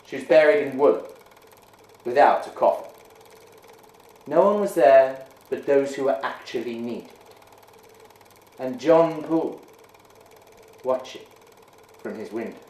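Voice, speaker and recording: A man giving a measured, hushed dramatic reading of a ghost story. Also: medium pitch. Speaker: reader. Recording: roomy echo.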